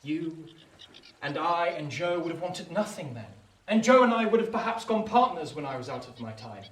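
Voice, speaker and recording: A lovesick, discontented young lad in a radio play. He speaks earnestly, swinging between petulant and wistful with big leaps in pitch. The sound is roomy and off the mic, with a faint hiss. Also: lively intonation, moderate pace, fairly bright tone, distant mic. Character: discontented, lovesick working-class youth longing for gentility. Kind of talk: radio drama acting.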